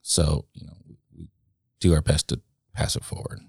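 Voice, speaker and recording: A man in his late thirties talks in an extremely low, gravelly voice. He is a guest in a casual conversation, thinking aloud with frequent ums and self-corrections. The room sounds dry and close. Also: fairly even intonation, a little mellow, no echo. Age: late 30s. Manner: reflective, halting, many ums and restarts.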